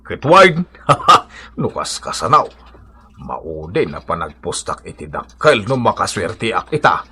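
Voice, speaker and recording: A man acting in an Ilocano radio comedy as a jolly, boastful father hooked on cockfight betting, with a husky, exaggerated comic delivery. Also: low-pitched, big pitch swings, takes it slowly, pushed and bright, heavily acted. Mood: stubborn, dreamy.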